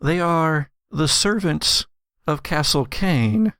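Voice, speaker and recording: A man working as game master, narrating a tabletop horror game with suspenseful pauses and vivid description. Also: a little high, lively intonation, a bit bright, smooth, no room sound, clean studio sound. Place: home studio.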